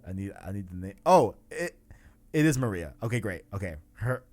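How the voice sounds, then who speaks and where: Man, low voice, podcast host, casual gaming talk, enthusiastic, slangy, faint guitar music behind. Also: sing-song, fairly quick, darkish tone. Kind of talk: casual video game discussion.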